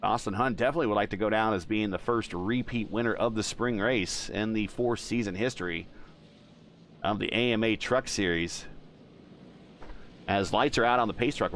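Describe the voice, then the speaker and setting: A man's voice: lowish voice, normal speed, a little hiss or hum. Man calling a virtual truck race. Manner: excitable play-by-play, chatty with stream chat. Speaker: lead race commentator. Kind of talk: live sim racing commentary.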